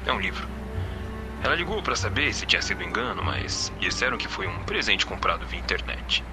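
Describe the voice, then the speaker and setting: Man acting grieving Brazilian father, expressive swings. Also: low-pitched, brisk, music in the background. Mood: subdued, recalling. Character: grieving, bitter father of a murdered daughter. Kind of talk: audio drama acting.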